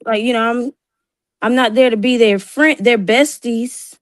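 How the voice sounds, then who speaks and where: A thirty-year-old woman talks earnestly as a guest on the panel. Her voice is a bit high and is recorded close and dry. She chats along with plenty of fillers. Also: measured pace, dry recording. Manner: earnest, chatty, uses fillers. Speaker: church youth worker, guest. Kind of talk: casual discussion.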